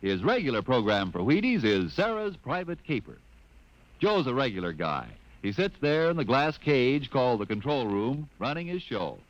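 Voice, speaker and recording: A man announcing in an upbeat, smooth style for a 1950s radio commercial. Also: mid-range voice, very animated, normal speed. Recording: dull, dry old radio transfer.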